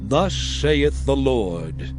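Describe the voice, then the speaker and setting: A man playing a grave, commanding prophet in a dramatized scripture reading, declaiming solemnly and deliberately. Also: medium pitch, big pitch swings. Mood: stern warning. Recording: music behind the voice.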